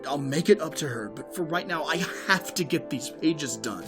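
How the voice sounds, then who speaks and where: A man's voice: on the high side, lively intonation, moderate pace, natural voice. A man playing an irritable, self-absorbed writer father in an audio drama, exasperated with his family. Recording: music behind the voice.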